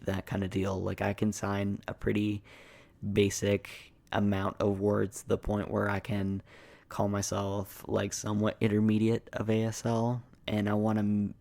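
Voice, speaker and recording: A young man rambling in a casual, hesitant, meandering way in a soft-spoken ASMR style. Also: lowish voice, creaky, dry recording. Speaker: ASMR video creator.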